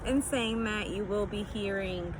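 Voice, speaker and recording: A woman's voice: medium pitch, a little slow. A young woman from Connecticut vlogging casually on an apartment rooftop, upbeat and chatty with frequent ums. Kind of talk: casual vlog talk to camera. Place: outdoors on an apartment building roof. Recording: outdoor street noise, loud. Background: loud urban noise, traffic and animals.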